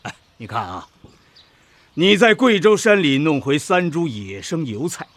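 An older agricultural professor for radio drama, pompous and didactic. His husky voice sits fairly high and swings dramatically up and down as he lectures. The sound is dry and close. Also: very animated, a little slow, a bit bright, dry recording. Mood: lecturing, self-assured. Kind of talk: Chinese radio drama acting.

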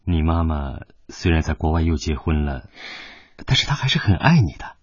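A man's voice: extremely low pitch, big pitch swings, normal speed, breathy edge, no room sound, lo-fi sound, heavily acted. A male actor playing a proud, devoted working-class father in a radio drama, middle-aged and emphatic, torn between sternness and tenderness. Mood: gentle, hesitant. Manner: emotional, sighing, emphatic. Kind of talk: Chinese radio drama acting.

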